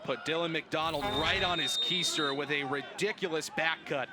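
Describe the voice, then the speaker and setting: A man's voice: on the high side, fairly even intonation, normal speed, heavy background noise. A man in his early twenties announcing live sports with a polished, emphatic broadcast delivery. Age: early 20s. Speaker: sports play-by-play announcer and host. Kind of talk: live sports play-by-play and show openings.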